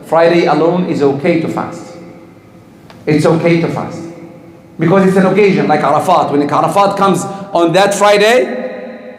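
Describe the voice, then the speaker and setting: A man giving a religious talk to a congregation, asking the listeners questions and answering them himself. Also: on the high side. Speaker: Islamic teacher. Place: community hall or mosque in Canada. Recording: echoey hall with long reverb.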